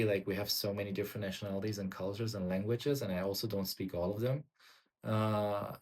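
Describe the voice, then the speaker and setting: Low-voiced German man vlogging haltingly. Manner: hesitant, many fillers and restarts. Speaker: music teacher. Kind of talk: casual vlog reflection.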